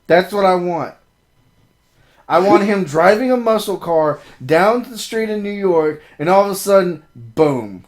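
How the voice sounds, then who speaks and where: A man co-hosting a podcast in casual chat, sarcastic and teasing, with loud outbursts. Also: sits high, animated, a little slow. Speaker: podcast co-host.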